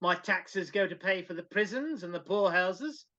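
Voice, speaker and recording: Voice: medium pitch, expressive, normal speed, sharp tone. A performer reading a stern, miserly businessman with a curt, scornful manner in a live dramatic reading over a video call. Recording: dull lo-fi video-call audio.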